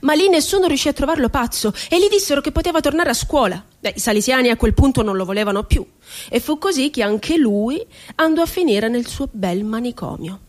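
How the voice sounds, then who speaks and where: High-voiced sardonic asylum inmate. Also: female voice, lively intonation. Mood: sad irony. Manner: sardonic, dramatic, deliberate storytelling. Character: woman psychiatric inmate recounting asylum horrors, bitterly ironic. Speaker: stage actress. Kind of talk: theatrical monologue on radio.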